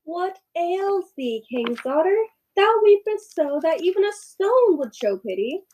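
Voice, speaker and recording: Talking storybook frog, played by a woman: high-pitched, mellow, insistent, slightly creepy, old-fashioned bargainer. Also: big pitch swings, unhurried, slight background noise, full character voice. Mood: solicitous. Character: odd, bargaining talking frog from a fairy tale. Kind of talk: storybook read-aloud with old-fashioned dialogue.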